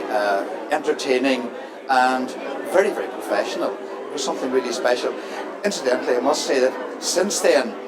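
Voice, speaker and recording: A man giving casual, reflective interview talk in a measured, earnest way. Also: mid-range voice, poor audio. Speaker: interviewee at a community pantomime. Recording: music playing behind the voice. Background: music.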